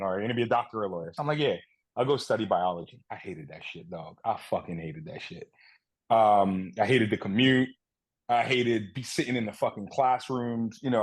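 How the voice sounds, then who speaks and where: A man's voice: lowish voice, husky. A man in his thirties from Guyana, a guest on a podcast, talking in a candid, profane, self-mocking way. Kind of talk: casual podcast chat.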